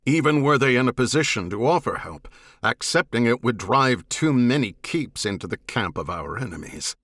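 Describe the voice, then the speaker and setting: A man's voice: medium pitch, expressive, normal speed, fairly bright tone, no room sound, clean studio sound. A man voicing a proud, weary alien warrior leader with a formal, solemn manner, in an audiobook. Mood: somber, resolute. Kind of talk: audiobook character dialogue.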